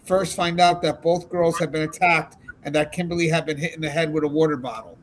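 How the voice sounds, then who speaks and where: A man hosting a true crime interview, asking long, measured, multi-part questions. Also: a little high, steady tone, poor audio. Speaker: true crime podcast host.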